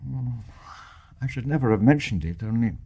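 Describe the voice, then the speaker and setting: An actor playing a devoted, bedridden English husband in a radio drama, husky, earnest and well-spoken in Received Pronunciation, brave and tender with bitter despair underneath. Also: male voice, medium pitch, lively intonation, normal speed, dark tone. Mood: loving, earnest. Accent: British Received Pronunciation. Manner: earnest, old-fashioned upper-class phrasing.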